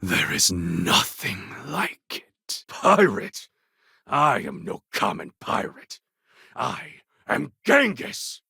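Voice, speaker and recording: A man playing a proud, fierce warlord in a video game, speaking in a grandiose, commanding way with a husky, gravelly voice. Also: mid-range voice, sharp tone, dry recording, clean studio sound, full character voice. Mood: solemn, resolute. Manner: grandiose, commanding, formal phrasing. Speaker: video game character voice actor. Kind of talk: dramatic video game character dialogue.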